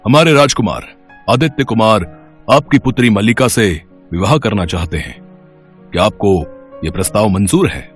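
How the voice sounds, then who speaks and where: An actor playing a formal royal soldier messenger in a storybook tale, proclaiming politely over music. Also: male voice, fairly low pitch, lively intonation, normal speed, natural voice.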